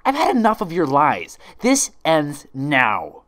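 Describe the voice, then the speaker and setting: Man putting on a high, desperate young unicorn mare. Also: huge pitch swings, takes it slowly, fairly bright tone, full character voice. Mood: furious. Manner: stammering, desperate. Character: distraught young female unicorn, pleading then furious.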